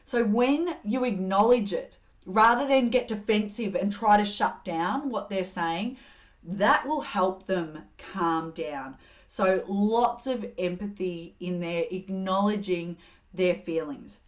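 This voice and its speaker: A middle-aged woman hosting an advice show, coaching in a steady, earnest way and acting out example phrases. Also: medium pitch, slow pace. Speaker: host giving communication advice. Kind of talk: advice talk to camera.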